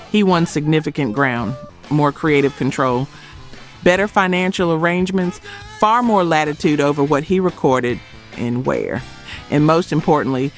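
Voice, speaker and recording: A man with a slightly high voice narrates a music documentary from a script. He lands short phrases with dramatic emphasis, and music plays faintly behind him. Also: fairly bright tone. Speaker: narrator of a music history video.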